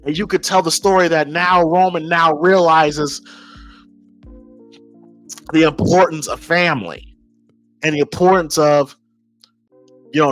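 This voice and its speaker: A man's voice: fairly high pitch, fairly bright tone, poor audio. An American man giving casual, emphatic opinion commentary as a podcast host, informal and often repeating phrases as he thinks aloud.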